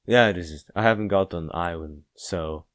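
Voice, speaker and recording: Visual novel lead: brooding, withdrawn man, a player reading him aloud, low-pitched, deadpan, very dry recording. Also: moderate pace, no echo. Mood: reluctant, deadpan. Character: Jack, aloof, withdrawn, brooding male narrator of visual novel.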